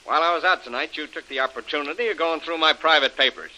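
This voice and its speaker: A man's voice: on the high side, fast, sharp tone, low-quality recording. A snarling tough-guy villain. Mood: threatening. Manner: hard-boiled gangster talk, clipped threats. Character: menacing male villain, tough-talking bully. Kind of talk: 1930s radio acting.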